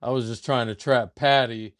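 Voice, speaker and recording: A man with a smooth, resonant voice leads a casual sports podcast. He is playful and pokes fun at himself, speaking at a mid pitch. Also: steady tone, moderate pace. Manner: playful, self-mocking. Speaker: podcast host.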